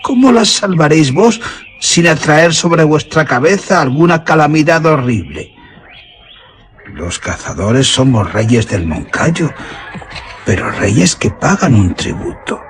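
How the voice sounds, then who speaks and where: A man's voice: a little high, very animated, slow, slight background noise, heavily acted. An actor playing a loyal, superstitious old huntsman in a suspense radio drama, with solemn, archaic Castilian Spanish diction. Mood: fearful warning.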